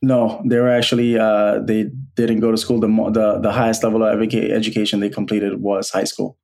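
A man in his thirties from Bangladesh, a guest telling his life story in an earnest, halting way with many restarts. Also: on the low side, flat delivery, creaky, darkish tone. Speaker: guest, college alumnus. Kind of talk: personal storytelling interview.

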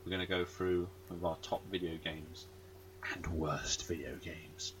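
A man's voice: deep voice, level tone, moderate pace, noisy background. A man hosting a casual podcast over a call, rambling and self-deprecating with lots of fillers. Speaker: podcast host.

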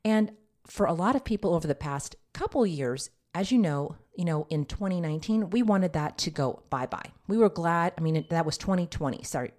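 Lady podcast host, stuffy nose, deep mellow voice, chatty and talks right to the listeners. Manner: chatty, addresses listeners directly as ladies. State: nasal, congested. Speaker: podcast host and women's health nurse practitioner. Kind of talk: casual health podcast talk.

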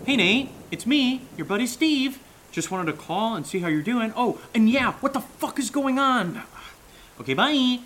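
A man's voice: very high-pitched, lively intonation, moderate pace, a bit bright, a little hiss or hum. An actor playing an anxious young man who stammers and mutters to himself in an audio drama. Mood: sarcastic, agitated. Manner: jittery muttering, stammering, self-talk. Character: anxious, rambling young man nervously searching alone.

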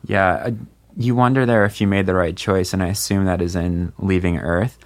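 Very deep-voiced man hosting a podcast. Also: fairly even intonation, moderate pace. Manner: teasing, leads the talk. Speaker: podcast host. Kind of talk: casual chat.

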